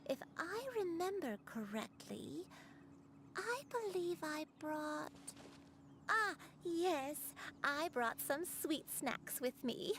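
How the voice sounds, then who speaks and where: A woman voicing a kind, forgetful grandmother in a video game, speaking slowly and musingly. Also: high voice, lively intonation, a bit bright, slight background noise, full character voice. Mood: gentle. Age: older. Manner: slow, musing and hesitant.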